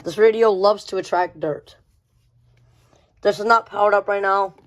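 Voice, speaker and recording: A woman's voice: mid-range voice, fairly even intonation, moderate pace. A woman giving a casual, unscripted show-and-tell of a collection, rambling and often repeating phrases. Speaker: radio collector showing a collection.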